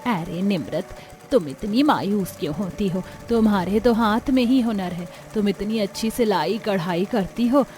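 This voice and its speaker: A woman voicing a caring, protective middle-aged mother in a cartoon family story, with a soft manner. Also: mid-range voice, moderate pace, a little hiss or hum, natural voice. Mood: reassuring.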